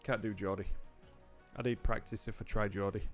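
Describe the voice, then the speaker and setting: A man's voice: on the low side, steady tone, normal speed, soft background music. Teasing British man running a game. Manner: teasing, sarcastic. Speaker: game master. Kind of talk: casual banter running a tabletop game.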